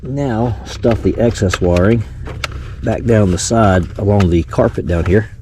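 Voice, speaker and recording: A man with a low voice talks casually through a do-it-yourself job, thinking aloud as he goes. Clear background noise from a vehicle runs behind him. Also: measured pace. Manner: thinks aloud while working. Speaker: host of a DIY vehicle radio install video. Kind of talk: casual hands-on tutorial narration.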